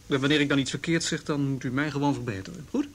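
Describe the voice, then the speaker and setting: An actor playing a careful juvenile police detective in a measured, reassuring, naturalistic radio drama manner. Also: male voice, mid-range voice, lightly acted. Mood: calm, reassuring.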